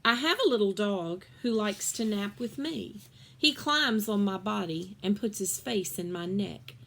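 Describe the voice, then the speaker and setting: A woman's voice: medium pitch, a little slow, vocal fry, fairly bright tone, slight background noise, rough recording. Woman as lilting poetry-reciting pet. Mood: dreamy reciting. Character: pet that spouts back romantic poetry.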